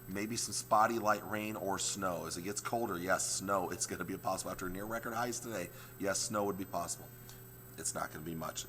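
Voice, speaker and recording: Hoarse male TV meteorologist, very deep voice, faint hum behind. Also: a bit bright. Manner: explains maps step by step, repeats points. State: hoarse, losing his voice. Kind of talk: morning weather forecast.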